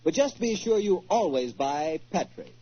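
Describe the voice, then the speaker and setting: A man's voice: fairly high pitch, sing-song, moderate pace, noisy background. An American man working as a 1940s radio announcer, polished and salesmanlike in delivery. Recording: muffled 1940s radio recording with music.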